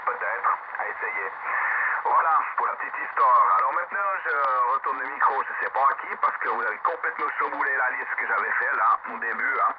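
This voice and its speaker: A man's voice: mid-range voice, fairly quick. A man chatting casually as an amateur radio operator, rambling and hesitant, over a shortwave radio link. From Switzerland. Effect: shortwave radio transmission. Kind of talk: casual technical chat over ham radio. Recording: narrow tinny shortwave signal, loud static noise.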